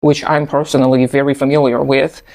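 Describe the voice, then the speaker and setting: A man in his thirties whose first language is Russian, giving thoughtful, measured personal commentary in English with an occasional soft laugh. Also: mid-range voice, flat delivery, creaky. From post-Soviet country. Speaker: video essayist.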